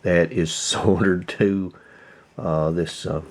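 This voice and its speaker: A man giving a casual hands-on demonstration as a video host, thinking aloud in short, halting phrases. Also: deep voice, steady tone. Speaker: host of a radio repair video.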